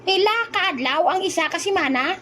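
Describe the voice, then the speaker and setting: A woman's voice: extremely high pitch, brisk, bright, forceful tone, a little hiss or hum. A woman voicing a silly, cheeky young boy in a comic audio drama, playful and full of questioning little interjections. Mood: mock earnest. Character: silly boy joker Noy Noy, cheeky and simple.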